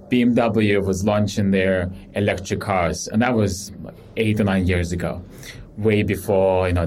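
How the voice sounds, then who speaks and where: Relaxed low-voiced man from Ukraine. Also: slight background noise. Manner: relaxed, rambling with many fillers and restarts. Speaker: guest, startup CEO. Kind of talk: casual interview talk.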